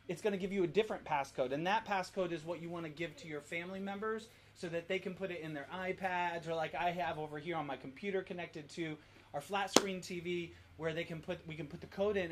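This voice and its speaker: A man hosting from home, informally explaining things step by step in a casual walk-through. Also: fairly high pitch. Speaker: host of a family worship demo. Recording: noisy home recording.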